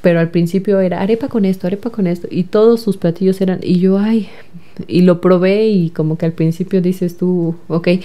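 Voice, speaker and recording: A woman's voice: on the low side, steady tone, brisk, dark tone. A young woman with a Mexican accent answering interview questions in a chatty way, full of fillers and repeated words. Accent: Mexican Spanish. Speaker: guest, recent engineering graduate.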